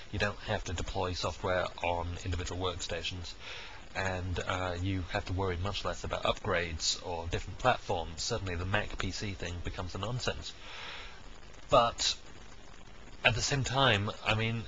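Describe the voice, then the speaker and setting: A man with a heavy cold, rambling through an unscripted video diary from his dining room with many ums and uhs. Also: low voice. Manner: rambling, thinking aloud with frequent ums and uhs. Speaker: video diarist, software technical lead. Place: dining room at home, indoors. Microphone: cheap webcam microphone. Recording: dull lo-fi webcam sound with steady background noise.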